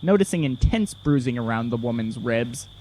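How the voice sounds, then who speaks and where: A man's voice: mid-range voice, animated, moderate pace, darkish tone, slight background noise, rough recording. A man reading scripted narration for an audio drama in the present tense.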